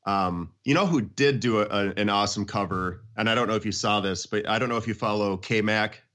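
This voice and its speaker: Middle-aged man, lowish voice, enthusiastic chat. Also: a little fast, fairly bright tone. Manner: enthusiastic, emphatic. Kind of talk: casual music chat.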